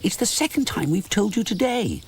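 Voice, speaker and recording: A man doing tiny shouting spring imps. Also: sits high, very animated, normal speed, vocal fry, a little hiss or hum, pitched above the performer's own voice, heavily acted. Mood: scolding. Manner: shouting, scolding.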